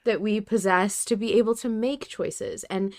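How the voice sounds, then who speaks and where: A woman's voice: medium pitch, steady tone, normal speed. A woman co-hosting a podcast in casual chat, effusive and emphatic. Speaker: podcast co-host.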